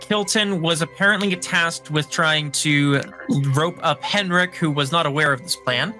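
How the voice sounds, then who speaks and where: A man's voice: on the high side, unhurried, pushed and bright, faint music behind, low-quality recording. A man acting as game master, narrating a dramatic recap and explaining rules casually.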